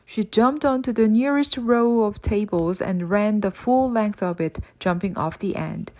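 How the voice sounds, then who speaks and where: Woman, audiobook narrator, scripted children's storytelling, medium-pitched voice. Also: a little slow, warm tone. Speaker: audiobook reader.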